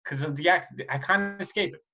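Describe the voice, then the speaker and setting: Romanian-accented man, earnest and halting. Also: on the high side, sharp tone, dull audio. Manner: earnest, halting, many restarts. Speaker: podcast host, comedian. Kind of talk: casual podcast chat.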